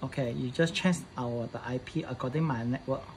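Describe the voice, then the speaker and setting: A man presenting a casual hands-on setup tutorial in halting English, often repeating his phrases. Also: mid-range voice, slight background noise, poor audio.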